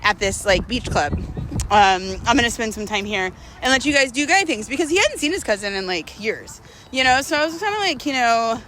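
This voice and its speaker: Young female vlogger, higher-pitched and bright, slangy casual storyteller, some street-like noise behind her. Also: lively intonation, brisk, poor audio. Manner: informal and slangy, with frequent hedging asides. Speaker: vlogger telling a personal story.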